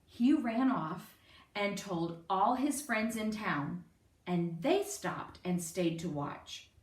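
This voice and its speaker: A woman reading a children's picture book aloud in an expressive, emphatic way. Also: mid-range voice, slow. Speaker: storyteller. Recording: roomy, distant microphone.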